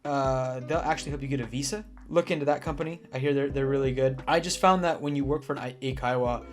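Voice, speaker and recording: A man's voice: medium pitch, fast. A young man in casual talk to camera, giving earnest advice about teaching jobs in Japan, with restarts and fillers. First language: English. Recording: soft guitar music behind.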